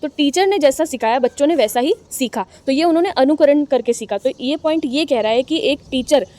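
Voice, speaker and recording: A young Indian woman teaching an exam-prep lesson, chatty and encouraging, who reads lines slowly and then explains them. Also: sits high, animated, warm tone.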